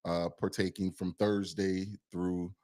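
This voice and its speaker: A man's voice: deep voice, little pitch movement, slow pace, warm tone. A man co-hosting a podcast in a loose, casual way, often repeating and restarting his phrases. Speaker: podcast co-host.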